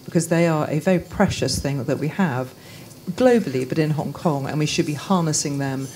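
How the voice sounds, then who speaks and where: A woman's voice: low voice, normal speed, darkish tone. A woman in her thirties speaking earnestly as a panelist into a handheld microphone at a conference. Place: conference function room, indoors. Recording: faint room noise.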